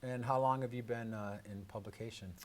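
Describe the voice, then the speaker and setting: A man with a medium-pitched voice hosts a live community radio and TV show. He talks in a halting manner, pausing as he frames his segments and introductions. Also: steady tone. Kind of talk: hosting a live community broadcast.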